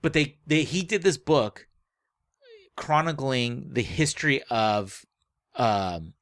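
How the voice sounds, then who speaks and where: Guy podcast cohost, rambly and halting, mid pitch, dry sound, just chatting. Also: expressive, a bit bright, no room sound. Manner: rambling, halting, often trailing off mid-phrase. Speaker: podcast co-host. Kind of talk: casual book-club chat.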